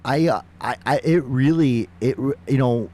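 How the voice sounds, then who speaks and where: A man from Minnesota, a musician guest, speaking modestly and haltingly with lots of restarts. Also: medium pitch, a little mellow. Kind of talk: casual interview talk.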